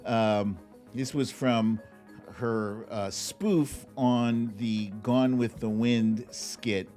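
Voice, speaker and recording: Slow-paced man hosting a casual livestream. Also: medium pitch, steady tone, warm tone, faint music behind. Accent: American. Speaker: host of a live online talk show. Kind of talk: casual livestream chat and commentary.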